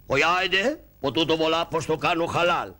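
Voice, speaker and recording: Man doing a blustering old-style pasha, broken speech, bright forceful tone, a bit high, shadow-puppet play. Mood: imperious. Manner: broken Greek mixed with Turkish words, commanding. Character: blustering Turkish-speaking male pasha, irritable. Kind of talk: Greek shadow-puppet theatre.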